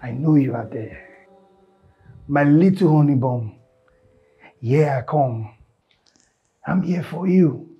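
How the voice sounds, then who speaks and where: A man's voice: fairly high pitch, expressive, unhurried, long pauses, highs cut off, soft background music. An actor playing a cruel, violent stepfather who taunts and threatens. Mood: menacing.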